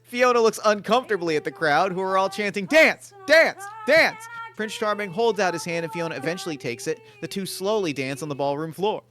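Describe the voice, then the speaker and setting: A man narrating screenplay stage directions in a table read, brisk and matter-of-fact. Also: high voice, lively intonation, fairly bright tone, faint music behind.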